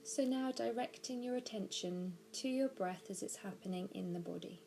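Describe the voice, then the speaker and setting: A woman narrating a guided body scan meditation, giving gentle, measured instructions slowly with pauses. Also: mid-range voice, vocal fry. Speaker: mindfulness practitioner guiding a meditation.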